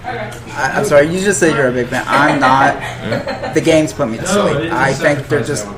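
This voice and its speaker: A man's voice: on the high side, lively intonation, moderate pace. A man hosting a podcast chat, blunt and opinionated but feeling for his words. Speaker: podcast host. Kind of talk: casual chat. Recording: loud crowd noise around the voice. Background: loud crowd chatter.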